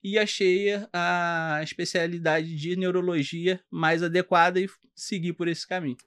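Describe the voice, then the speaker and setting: A man from Rio de Janeiro with a Carioca accent, a doctor guest on a podcast, explaining his path in a halting way with many fillers and restarts. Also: high voice, little pitch movement, a bit bright, clear, resonant tone. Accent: Carioca Brazilian Portuguese. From Rio de Janeiro, Brazil. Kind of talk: casual interview chat.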